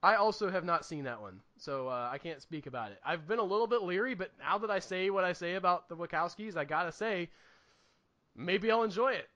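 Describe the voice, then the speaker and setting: A man with a notably high, bright voice talks casually as a movie podcast co-host. He rambles earnestly, working out his opinions as he goes. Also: expressive, fairly quick, muffled recording. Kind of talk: casual movie review chat.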